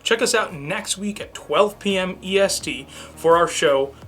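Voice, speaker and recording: A man's voice: sits high, unhurried, fairly bright tone, soft background music. A man co-hosting a review show in a scripted but conversational, presenterly way. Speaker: show co-host.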